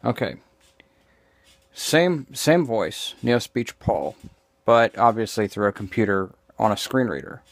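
A man talking off the cuff as he explains and demonstrates, in a halting, meandering, opinionated way. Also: on the low side, animated, no room sound. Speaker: vlogger demonstrating screen reader voices. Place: small room indoors. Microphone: handheld phone, later lying on desk.

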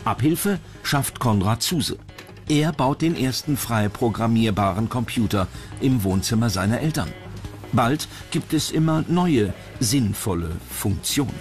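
A male documentary narrator reads a scripted commentary. His medium-pitched voice moves up and down with lively intonation. Clear music plays behind him. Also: unhurried. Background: background music.

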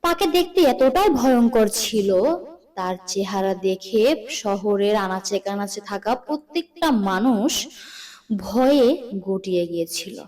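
A woman reading scripted cartoon story narration in a dramatic, deliberate storytelling manner. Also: medium pitch, lively intonation, a little mellow.

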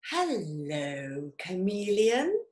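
A woman plays a sneaky storybook snake, coaxing with a hiss. The words are drawn out very slowly in an echoey room. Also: mid-range voice, wildly swooping pitch, heavily acted. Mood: sly, coaxing. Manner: hissing, hushed, drawn-out, coaxing. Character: sly, sneaky male snake in a children's story. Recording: roomy, echoing sound.

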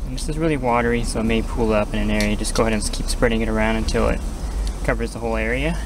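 A man's voice: lowish voice, fairly even intonation, very fast. A man giving casual, plain-spoken step-by-step instructions as he does hands-on work outdoors, hosting a how-to tutorial. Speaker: how-to host, pool equipment installer. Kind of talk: casual hands-on tutorial narration while working. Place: outdoors at a small backyard equipment pad. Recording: outdoor recording with clear ambient background noise.

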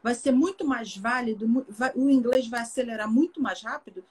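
A woman's voice: on the high side, a little fast, breathy edge. A woman from Brazil, a teacher and interview guest, explaining her views thoughtfully in a casual live video chat. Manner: thoughtful, explanatory, warm farewells. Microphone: webcam, video call.

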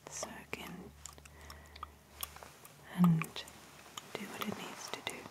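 A woman in her thirties speaking in a gentle, hushed, breathy ASMR whisper, as an examiner giving personal attention. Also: takes it slowly. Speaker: ASMR roleplay performer as ear examiner. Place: small indoor room with fabric backdrop.

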